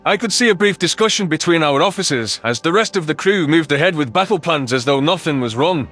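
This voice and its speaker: A male narrator delivers a dramatic first-person sci-fi story. His voice is on the higher side, and faint music plays behind the scripted reading. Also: animated, brisk, fairly bright tone. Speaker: audiobook narrator. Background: background music.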